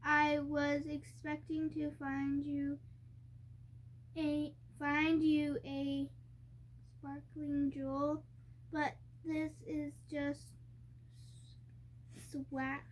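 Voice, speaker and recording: A young girl reads a storybook aloud in a high, fairly even voice. She goes slowly and haltingly, sounding out the harder words. There is some light noise behind her. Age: child. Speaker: young reader co-hosting a family reading video.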